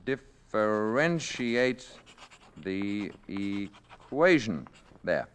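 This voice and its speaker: An actor playing a calm, courteous alien posing as a human, measured and gently formal, in old-time radio drama style. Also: male voice, on the low side, smooth, full character voice. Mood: calm, assured. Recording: vintage radio drama recording.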